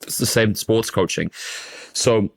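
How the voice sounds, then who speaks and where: A man's voice: on the low side, measured pace, creaky. A young English man, a basketball coach, talking in a casual interview, emphatic and fluent, repeating words for stress. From England.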